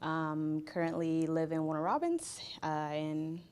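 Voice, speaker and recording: A young woman from Venezuela, a school mental health counselor, answering interview questions in a soft, hesitant way with many fillers. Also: low-pitched, unhurried, clear, resonant tone. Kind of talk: interview guest, casual.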